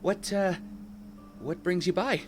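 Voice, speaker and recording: A man's voice: sits high, slow pace. An actor playing a flustered, evasive young rich man in an audio drama, apologetic and hesitant. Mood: uneasy politeness. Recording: dramatic music behind the voice.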